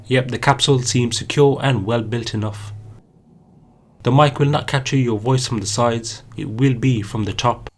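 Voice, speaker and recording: Husky guy doing a gadget review voiceover, reads like a script. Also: mid-range voice, fairly even intonation, moderate pace. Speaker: product reviewer and channel host. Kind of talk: scripted product review narration.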